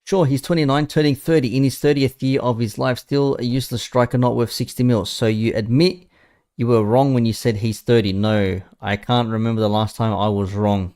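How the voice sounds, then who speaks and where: A man's voice: medium pitch, steady tone, brisk, a little mellow, smooth. A man, chatting casually and bluntly about football to his viewers. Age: 30s. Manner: blunt, sweary, mocking. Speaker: football streamer. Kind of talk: casual live chat.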